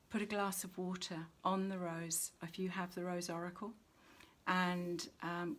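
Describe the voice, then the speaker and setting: Slow, low-pitched woman giving a spiritual reading. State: low in energy. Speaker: spiritual reader and host. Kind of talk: spiritual oracle reading, unscripted monologue.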